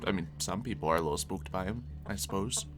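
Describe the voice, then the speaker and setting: A man's voice: deep voice, fast, lightly acted. Easygoing peacemaker survivor, zombie game roleplay, male player, casual conversational delivery, music underneath. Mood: easygoing, cautious. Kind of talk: improvised video game roleplay, casual chat.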